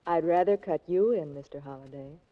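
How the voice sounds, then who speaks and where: A woman's voice: low voice, a little fast, natural voice. Scheming, greedy dame woman acting, cool persuasive delivery, 1940s radio drama, dull vintage recording. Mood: coaxing. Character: greedy, scheming femme fatale.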